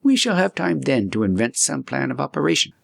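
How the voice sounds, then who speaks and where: A man voicing an excitable, loyal young student in a storybook, with an animated, exclamatory delivery. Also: medium pitch, brisk, vocal fry, pitched above the performer's own voice, heavily acted. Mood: eager, protective.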